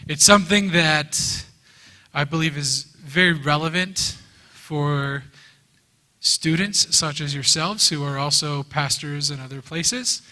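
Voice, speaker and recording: Slow, earnest man in a hall. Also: on the high side, pushed and bright. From United States. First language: English. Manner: slow, earnest, pausing in short phrases for the interpreter. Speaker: guest teacher. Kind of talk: lecture given through an interpreter. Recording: reverberant hall.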